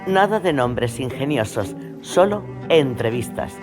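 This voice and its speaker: A man playing a deadpan, mock-grand radio announcer, slowly presenting a show intro. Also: medium pitch, music in the background, natural voice.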